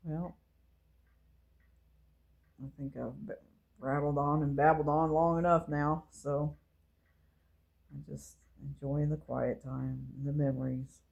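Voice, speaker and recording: A woman, who reminisces casually and gently, meandering along as she talks to her viewers. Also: little pitch movement, vocal fry, highs cut off. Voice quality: low voice. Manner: slow, meandering and gentle, with fillers. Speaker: channel host.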